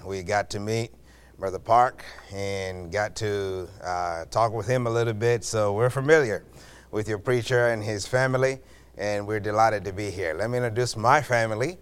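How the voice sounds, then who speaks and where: A missionary man from Zambia, talking to a church with many pauses and uh fillers. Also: fairly low pitch, fairly even intonation, smooth. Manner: halting, with frequent uh fillers. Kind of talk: church greeting and testimony.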